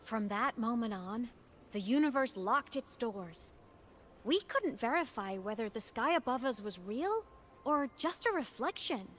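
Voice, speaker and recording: A woman's voice: fairly high pitch, lively intonation, a little slow, slight background noise. An actress playing a confident, nostalgic woman with a daredevil past in video game story dialogue. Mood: solemn.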